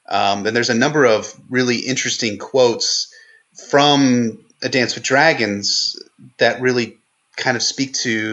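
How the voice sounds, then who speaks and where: A man with a bright, forceful voice analyses fiction in a conversational way. He is earnest and thinks aloud, pausing and restarting as he builds a point. The audio is a little dull and lo-fi. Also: medium pitch. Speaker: guest co-host on a book podcast.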